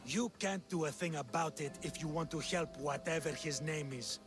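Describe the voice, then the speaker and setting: A man's voice: fairly high pitch, lightly acted. A man playing a smug, callous slave master and cult villain in a video game, sneering and condescending. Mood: taunting. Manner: sneering, condescending, unhurried menace. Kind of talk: video game cutscene acting. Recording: music behind.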